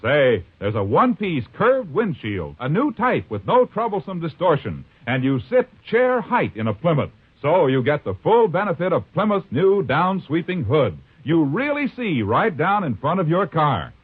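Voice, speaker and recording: A man's voice: a little high, very animated, moderate pace, hardly any pauses, husky. A man reading a 1950s radio car commercial with eager salesmanship. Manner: enthusiastic, salesy. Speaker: commercial pitchman. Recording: phone-like 1950s radio broadcast.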